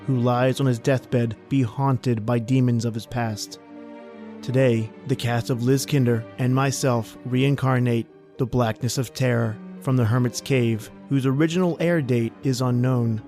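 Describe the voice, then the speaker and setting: A man introduces a horror podcast as its host. His voice sits at a medium pitch, the delivery is scripted, and light music plays behind him. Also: steady tone, moderate pace, a little mellow.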